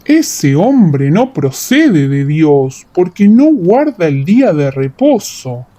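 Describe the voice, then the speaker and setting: A woman voicing a stern, accusing religious elder who challenges people deliberately in a dramatized audiobook. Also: deep voice, sing-song, mellow, pitched below the performer's own voice, heavily acted. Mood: disapproving. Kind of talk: audiobook radio-theatre dialogue.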